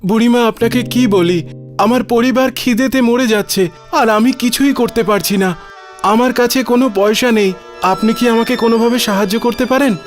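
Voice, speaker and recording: Humble poor village guy acted by a man, earnest n polite, pretty high voice, cartoon story, light music. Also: normal speed. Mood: desperate, pleading. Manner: earnest, respectful. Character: poor, humble, helpful younger brother, desperate but polite. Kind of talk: cartoon fairy-tale dialogue.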